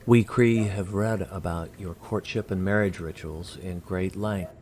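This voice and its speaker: A man playing a courteous, calm alien emissary in an audio drama, speaking formally and with measured politeness. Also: low voice, slight background noise.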